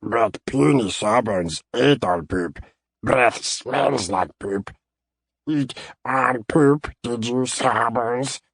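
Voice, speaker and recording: A man's voice: mid-range voice, slow pace, creaky, a bit bright, husky, no room sound, pitched above the performer's own voice, exaggerated voice. A man doing a sneering kobold bully. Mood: mocking. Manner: mocking, taunting. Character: bullying male kobold guard. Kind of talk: audiobook character voice.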